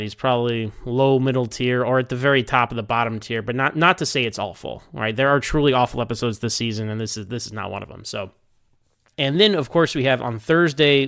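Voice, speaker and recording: A man's voice: mid-range voice, a bit bright, clear, resonant tone, dry recording, studio quality. A man talking casually in a rambling, think-aloud way as he gives his review of TV episodes. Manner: conversational, hedging, thinking aloud.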